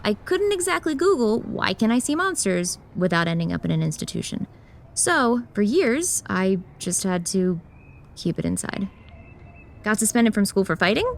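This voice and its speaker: A jaded, sardonic young woman in a horror audio drama. The voice is mid-pitched with heavy fry and a blunt, dry delivery, over clear background noise.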